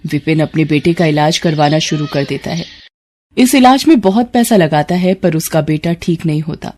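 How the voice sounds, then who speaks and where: A woman's voice: sits low, lively intonation, moderate pace, darkish tone. Woman reading out a moral story, narrator style, bit of background noise.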